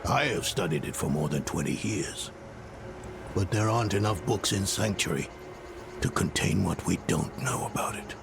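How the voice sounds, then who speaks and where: Weary middle-aged fantasy game scholar: halting, brooding, creaky voice with wide swings in pitch, music underneath. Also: male voice, expressive. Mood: weary, sceptical. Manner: halting, brooding, muttering to himself. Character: grieving, weary older male scholar mending a relic. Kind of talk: dramatic fantasy game dialogue. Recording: dramatic music behind dialogue.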